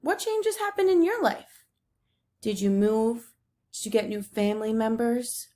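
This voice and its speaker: A woman with a fairly high voice talks as a teacher to young listeners during a read-aloud. Her delivery is instructive and full of questions, guiding children through a picture book. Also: lively intonation, a little slow, mellow.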